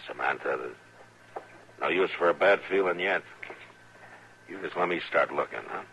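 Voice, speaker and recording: An actor playing a steady, authoritative American frontier lawman, terse, measured and dry, in 1950s radio western style. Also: deep voice. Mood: measured, reassuring. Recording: muffled, hissy old radio recording.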